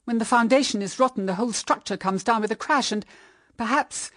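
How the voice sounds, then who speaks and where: A woman's voice: fairly high pitch, brisk, fairly bright tone, no room sound, clean studio sound, close to an everyday voice. An actress playing a stern, moralising middle-aged English mother in a period radio drama, with formal, emphatic diction. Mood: stern urging.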